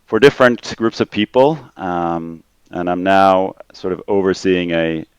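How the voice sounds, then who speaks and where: A man's voice: low-pitched, unhurried, smooth, dry recording. A middle-aged man from Finland, a podcast guest, talking casually and thoughtfully, often repeating words as he finds his phrasing. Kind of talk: casual interview conversation. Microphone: remote call line.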